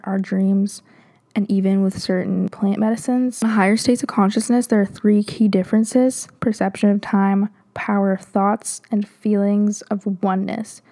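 A woman's voice: mid-range voice, dark tone. A young woman hosting a solo podcast, chatting casually and reading passages aloud, full of "like" and small restarts. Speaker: podcast host.

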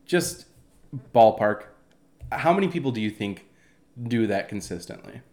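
A man's voice: fairly low pitch, lively intonation, unhurried, darkish tone. A man talks in a podcast conversation. He steers the talk with curious, prompting questions and sums up what he hears.

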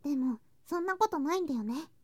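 A woman voicing a sweet, affectionate younger girl cousin in a Japanese ASMR situation drama, in a cutesy, clingy manner with soft whispery touches. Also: high voice, animated, fast talker. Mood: excited, relieved.

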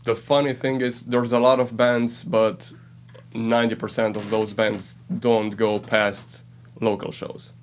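A man's voice: fairly low pitch, flat delivery, a little slow, a little hiss or hum. A man from Slovenia, a band member explaining things in a matter-of-fact way as a podcast guest. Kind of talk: casual interview chat.

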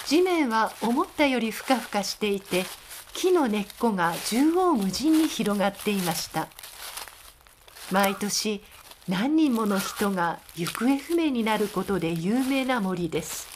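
A woman's voice: mid-range voice, animated, unhurried. A woman reading scripted narration as the narrator of a radio drama. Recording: clear background noise and ambience.

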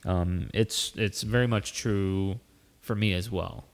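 A man with a lowish voice, talking earnestly and rambling with many restarts as a podcast host. The sound has a long, hall-like reverb. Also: fairly even intonation, takes it slowly. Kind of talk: casual chat.

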